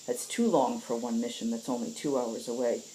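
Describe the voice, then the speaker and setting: Woman as a stern, low-voiced female agent. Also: moderate pace, warm tone, a little hiss or hum, poor audio. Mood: exasperated. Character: stern, weary female healer agent, blunt executioner.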